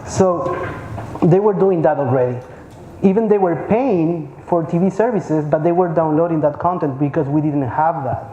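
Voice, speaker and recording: Man, mellow voice, fairly high pitch, echoey conference hall with faint ambience. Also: normal speed, muffled recording. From Peru. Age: 30s. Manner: informal, repeats words while searching for them. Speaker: conference speaker. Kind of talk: conference talk.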